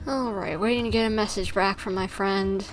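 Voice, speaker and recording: A woman's voice: mid-range voice, fairly even intonation, normal speed, soft background music, rough recording. A woman livestreamer chatting informally with her viewers, rambling and easily sidetracked. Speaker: live streamer. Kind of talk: casual livestream chat with viewers.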